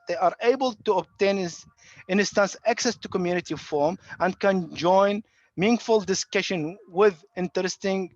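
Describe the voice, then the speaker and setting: A high-voiced man from Iraq gives an academic talk over a video call, stopping often to search for words. The sound is dry and close, with a dull, lo-fi quality from the online connection. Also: no echo. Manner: halting, many fillers and restarts. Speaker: guest lecturer. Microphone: computer mic on video call.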